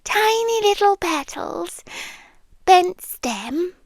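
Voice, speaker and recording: A British woman playing a possessive, sweetly menacing yandere girlfriend in an intimate ASMR roleplay, teasing and often slipping into breathy whispers. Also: extremely high pitch, sing-song, extremely slow, no room sound, full character voice, poor audio. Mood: tender, wistful.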